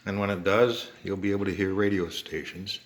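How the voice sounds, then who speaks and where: An older man with an American accent giving a casual, halting show-and-tell narration in short phrases. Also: low voice, steady tone. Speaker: home video maker showing an antique radio.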